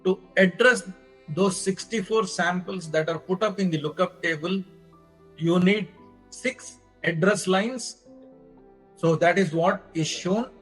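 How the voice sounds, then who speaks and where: An Indian-accented man delivers a technical lecture in a fairly high voice. He speaks slowly and deliberately, laying out each step in short phrases. Faint music sits in the recording. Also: husky, rough recording. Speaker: lecturer presenting own engineering research.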